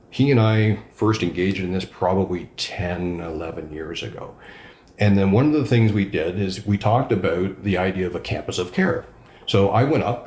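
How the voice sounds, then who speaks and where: A man's voice: low-pitched, roomy sound. A man, a regional councillor, answering interview questions with emphatic enthusiasm. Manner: enthusiastic, emphatic, self-described loud voice.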